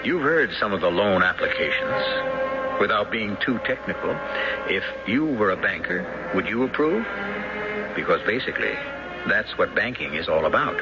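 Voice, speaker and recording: A man hosting and narrating a radio drama in a deliberate, theatrical, rhetorical style. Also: on the low side. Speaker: host and narrator. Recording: dull old radio broadcast. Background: music.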